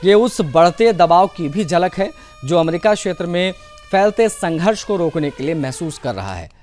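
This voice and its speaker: A man's voice: high voice, expressive, moderate pace, darkish tone, soft background music. A man presenting television news with commentary, in the role of anchor.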